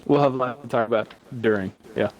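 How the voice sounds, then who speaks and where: A man's voice: medium pitch, low-quality recording. A man from Denmark co-hosting a gaming stream, chatting playfully and teasing his friends. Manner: playful, teasing, slow when describing things. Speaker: stream co-host. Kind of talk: casual gaming chat.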